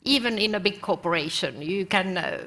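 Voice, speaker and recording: A woman's voice: mid-range voice, normal speed, a bit bright. A woman speaking on a conference panel from a company view, confident and emphatic. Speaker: corporate panelist. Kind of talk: panel discussion.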